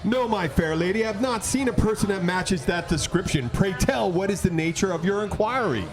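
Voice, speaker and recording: A man playing a gallant, pompous gentleman detective in an amateur comic radio play, with flowery archaic speech. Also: sits high, expressive, normal speed, music in the background. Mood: pompous, courtly. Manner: pompous, archaic, put-on formal accent.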